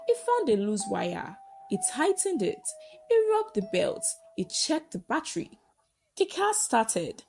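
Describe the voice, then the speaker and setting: A woman with a Nigerian accent narrating a dramatic folktale in short, deliberate storybook phrases with pauses. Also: fairly high pitch, wildly swooping pitch, vocal fry, darkish tone.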